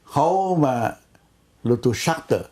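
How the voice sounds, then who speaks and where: A man's voice: a little high, animated, a little slow, dark tone. A man speaking spontaneously in a discussion on a conference call.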